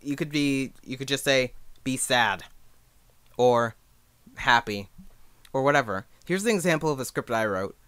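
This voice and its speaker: A man's voice: medium pitch, lively intonation, measured pace, pauses often, a bit bright, clear, resonant tone, no echo. A young man giving a casual, informal tutorial as an amateur, talking in a self-deprecating way. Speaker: amateur fan dub creator giving a tutorial.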